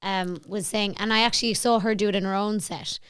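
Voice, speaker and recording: A woman's voice: mid-range voice, steady tone, a little fast, a bit bright, smooth, dry recording, studio quality. An Irish woman hosting a podcast, chatting casually with her guest in an interview. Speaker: podcast host.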